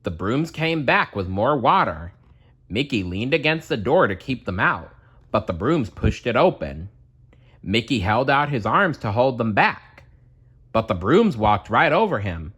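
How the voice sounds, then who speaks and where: A man narrating a children's storybook aloud in a dramatic, deliberate storytelling manner. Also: medium pitch, big pitch swings, a bit bright, breathy edge.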